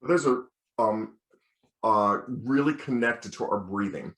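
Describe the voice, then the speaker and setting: An American man, a bass, teaching as a choir director in an encouraging, step-by-step instructional style with practical examples. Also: takes it slowly, a bit bright. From United States. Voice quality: bass singing voice. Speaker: children's choir director giving a lecture. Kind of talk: instructional lecture with demonstrations. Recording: roomy, echoing sound.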